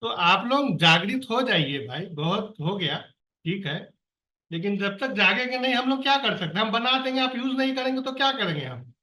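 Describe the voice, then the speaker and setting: A man speaks on a video call, earnestly pressing his colleagues to act. His voice sits high and sounds bright and tense. He is heard from some distance in an echoey room. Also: lively intonation, normal speed. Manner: earnest, exhorting, exasperated pleading. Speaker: project coordinator on a staff call. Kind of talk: online meeting, urging staff. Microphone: computer or phone on a video call.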